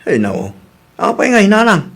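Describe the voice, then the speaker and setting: A pushy grown son, played by a man in a radio comedy drama. His voice is notably high for a man. He keeps coaxing and pressing his point. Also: wildly swooping pitch, normal speed, vocal fry, a bit bright, slightly rough, exaggerated voice. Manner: coaxing, insistent.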